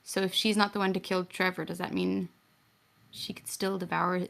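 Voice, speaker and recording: A woman's voice: fairly low pitch, level tone, normal speed, vocal fry, a crowd in the background. A woman playing a tabletop roleplay, asking and answering casually as a player. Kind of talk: casual game chat.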